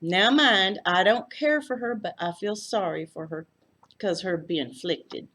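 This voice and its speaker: A woman voicing a friendly, chatty retired nurse with a deep South Texas drawl in a story read aloud. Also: measured pace, a bit bright. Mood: gossipy, folksy. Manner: effusive, folksy drawl.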